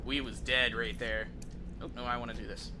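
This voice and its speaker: A man's voice: mid-range voice, little pitch movement, a little fast, background noise. A man giving casual, profane, slangy gameplay commentary as a game streamer. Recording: game music behind the voice. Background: video game music and sound effects.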